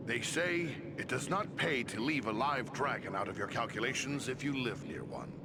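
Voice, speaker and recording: An actor playing a practical, dry human ranger in a fantasy video game, understated and plain-spoken. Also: male voice, medium pitch, big pitch swings, moderate pace, creaky, very noisy. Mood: matter-of-fact. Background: loud background music.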